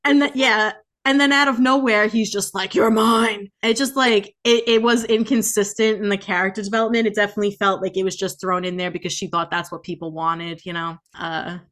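Woman, medium pitch, podcast co-host, casual chat, candid, opinionated, informal phrasing. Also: fairly even intonation, brisk, hardly any pauses, a bit bright. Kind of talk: casual book-review chat.

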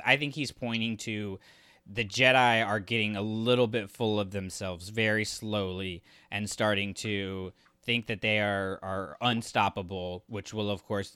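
A man's voice: on the low side, bright, forceful tone, clean tone, dry recording. A man co-hosting a podcast, discussing stories in a casual, opinionated, conversational way. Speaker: podcast co-host.

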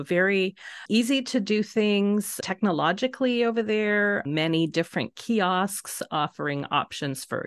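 A woman's voice: low-pitched, gravelly, dry recording, studio quality. A middle-aged woman from Canada, a professor answering interview questions in a friendly, thoughtful way, laughing easily. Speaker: translation technology professor, guest.

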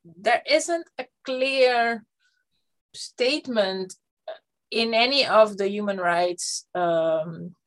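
Woman, Dutch native, panel speaker; halting, filler-heavy delivery. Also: mid-range voice, poor audio. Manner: hesitant, many fillers and restarts. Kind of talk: panel discussion talk.

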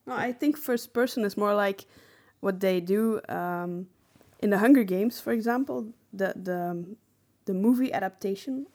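Woman co-hosting a chatty podcast, mid-pitched. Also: moderate pace, dark tone. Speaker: podcast co-host. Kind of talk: casual discussion of storytelling.

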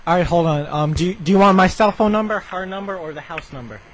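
A man's voice: high voice, fast, soft background music. A man making a prank phone call, speaking in a hesitant, polite, stalling and apologetic way. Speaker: prank caller. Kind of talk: feigned apologetic phone conversation. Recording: noisy phone call with clicks.

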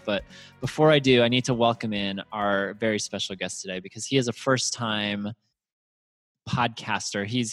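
A man's voice: medium pitch, dry recording. A man hosting a casual podcast, upbeat and chatty, laughing often as he talks with a guest over a call. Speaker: podcast host.

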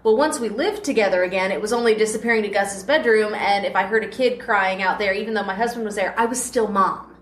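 A woman's voice: mid-range voice, fast, few pauses. Chatty laughing woman in a roomy hall. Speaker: podcast host, interview guest. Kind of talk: casual interview chat. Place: convention panel room. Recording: roomy echo, faint noise.

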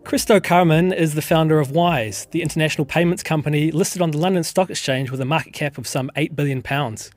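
Higher-voiced male business interviewer. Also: a little fast, few pauses, no echo, faint music behind. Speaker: podcast interviewer.

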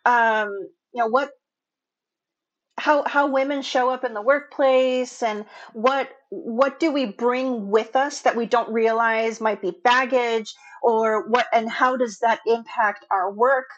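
A woman's voice: fairly high pitch, steady tone, slow pace, muffled recording. A woman hosting a podcast, chatting casually and affirming her guest often. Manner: affirming, with frequent agreement and fillers. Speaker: podcast host. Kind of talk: casual interview chat.

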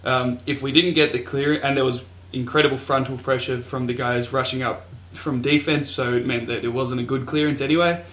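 A man's voice: medium pitch, steady tone, brisk, hardly any pauses, a bit bright. Aussie guy cohosting a footy podcast, jokey and teasing, roomy echo. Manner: joking, mock-grandiose. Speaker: podcast co-host. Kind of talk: casual sports podcast chat. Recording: echoey room.

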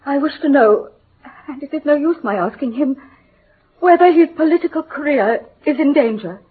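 A woman's voice: sits high, expressive, normal speed. An actress playing an anxious, proud upper-class English lady in radio drama, formal and imploring while holding back emotion. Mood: anxious, pleading. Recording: muffled old radio broadcast.

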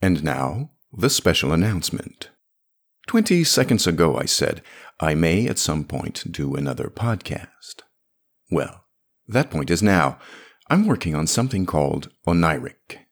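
Low-pitched man narrating a podcast. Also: sing-song, unhurried. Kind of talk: scripted narration and announcements.

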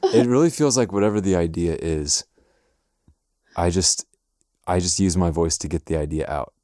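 A man who is a music producer, a podcast guest talking casually in a relaxed, self-deprecating way. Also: low voice, normal speed, no room sound, clean studio sound. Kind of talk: casual chat.

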